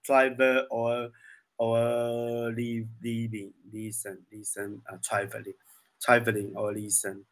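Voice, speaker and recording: Chinese guy in his 30s learning english, really slow, halting, repeats words, broken grammar. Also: medium pitch, monotone. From China. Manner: hesitant, broken English with repetition. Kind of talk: halting conversation practice. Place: online video lesson call.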